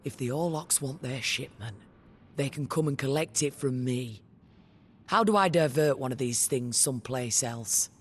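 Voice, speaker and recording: A man playing a tough sci-fi bounty hunter in a video game. His medium-pitched voice is terse and defiant in a hard-boiled way, with clear ambient noise around it. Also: normal speed, close to an everyday voice. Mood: determined, defiant.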